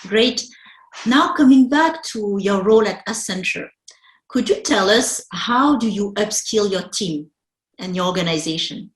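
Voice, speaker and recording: A woman's voice: mid-range voice, lively intonation, unhurried. Female host, direct concise questions, roomy echoey sound. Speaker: interviewer. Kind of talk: interview questions.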